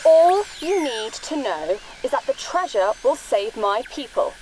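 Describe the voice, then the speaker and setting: High-voiced imperious young princess. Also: female voice, sing-song, unhurried, a little mellow, soft background music, low-quality recording. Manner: commanding, formal. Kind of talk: audio drama acting.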